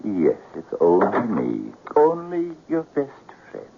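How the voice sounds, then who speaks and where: An actor playing a theatrical, insistent schemer of a friend, coaxing and portentous, in an old-time radio drama. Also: male voice, fairly low pitch, huge pitch swings, takes it slowly, vocal fry, heavily acted. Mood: portentous pleading. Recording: tinny narrow-band radio recording, faint noise.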